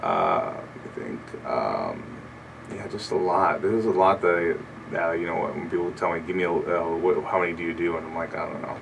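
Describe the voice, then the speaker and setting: A young comedian with a very deep, low voice, talking in a loose, hesitant way with plenty of fillers. He sits a bit back from the studio mic, and a faint hiss runs under his voice. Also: normal speed, creaky, distant mic. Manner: relaxed, rambling, hesitant with many fillers. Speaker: comedian guest. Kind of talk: casual radio interview. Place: small radio studio.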